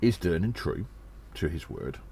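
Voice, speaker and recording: A man acts out a gnome secret agent with a strikingly low voice, telling his audio drama tale in a theatrical, self-important way. He is cheeky and deliberate, over noticeable background noise. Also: expressive, warm tone. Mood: musing, wry.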